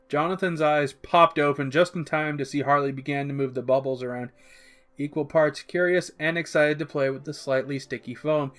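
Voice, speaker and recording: A narrator reading scripted fiction expressively, as in an audiobook. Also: medium pitch. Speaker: story narrator.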